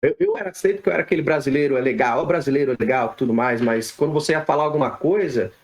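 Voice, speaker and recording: A man from Brazil, a physiotherapist guest on a podcast, answering questions in a relaxed, conversational way with frequent tag questions and restarts. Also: mid-range voice, very fast. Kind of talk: casual podcast interview answers.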